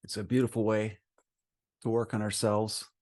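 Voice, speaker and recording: A man leading a guided meditation as a teacher, speaking gently and soothingly in short phrases with frequent pauses. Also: fairly low pitch, flat delivery.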